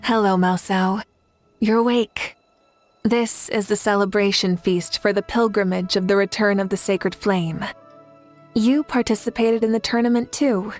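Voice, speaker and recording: A woman's voice: mid-range voice, steady tone, unhurried, faint music behind, natural voice. A woman playing a confident, benevolent warrior leader in an anime game, composed and encouraging. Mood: reassuring.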